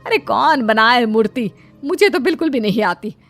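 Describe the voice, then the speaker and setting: A woman's voice: on the high side, expressive, normal speed, fairly bright tone, soft background music. A woman voicing a homely, cautious elderly mother-in-law in a cartoon moral story. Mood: reluctant.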